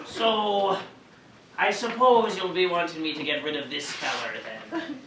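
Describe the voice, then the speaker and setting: A man's voice: high-pitched, steady tone, a little slow. A man playing a grumbling, defiant pirate in a staged cartoon reading. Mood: grudging. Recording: echoey room, distant mic, faint noise.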